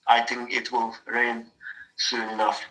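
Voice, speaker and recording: A young Turkish man speaks English with a strong Turkish accent and a very bright, sharp tone. He talks in a halting way, filling gaps with "uh" and restarting phrases as he hunts for the right word. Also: medium pitch, monotone, low-quality recording. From Turkey. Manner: halting, searching for words, frequent uh. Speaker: mechanical engineer practicing English. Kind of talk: casual conversation practice.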